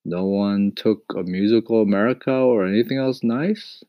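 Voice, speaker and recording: A man voicing a peaceful, resigned but kindly father in a storybook, mild, placating and quietly firm. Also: on the low side, moderate pace, no room sound, lo-fi sound, voice pushed up, lightly acted. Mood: soothing. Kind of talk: acted storybook reading.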